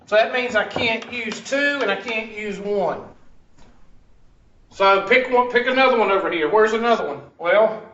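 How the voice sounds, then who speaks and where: A man's voice: high voice, normal speed, fairly bright tone, slight background noise. A man teaching a math class, working problems aloud and questioning students in a classroom. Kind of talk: classroom lesson. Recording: echoey classroom, dull far-off sound.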